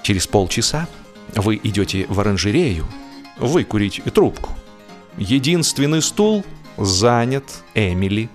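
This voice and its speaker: A man's voice: lowish voice, sing-song. Theatrical male narrator, music behind. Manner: theatrical, wry, with drawn-out pauses and emphatic phrasing. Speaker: audiobook narrator. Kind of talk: expressive literary narration of a humorous classic.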